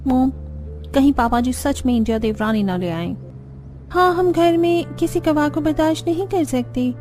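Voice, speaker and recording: A woman's voice: sits high, moderate pace, a little mellow, natural voice. A woman voicing a snobbish, westernized young daughter-in-law in a cartoon, mixing in English phrases. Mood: scheming. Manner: mixes English phrases into speech. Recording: background music under the voice.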